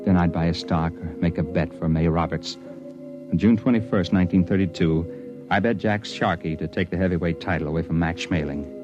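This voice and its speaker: Male actor as wary time-travelling hired man, low voice, 1950s radio drama, muffled sound, music behind. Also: brisk, lightly acted. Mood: grim, tense recounting. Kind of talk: 1950s radio drama acting, first-person narration.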